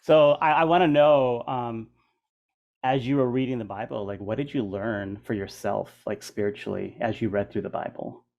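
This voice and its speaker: A man's voice: mid-range voice, fairly even intonation, moderate pace, gravelly, darkish tone, highs cut off. A middle-aged man hosting a casual interview, talking informally and banteringly, with plenty of "like" and "man". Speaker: interview host.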